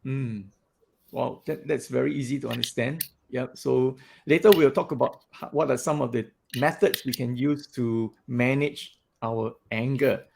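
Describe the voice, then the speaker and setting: A man hosting a podcast, talking in a loud, animated way and laughing often. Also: medium pitch, unhurried, gravelly, a little hiss or hum. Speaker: podcast host. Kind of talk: casual interview chat.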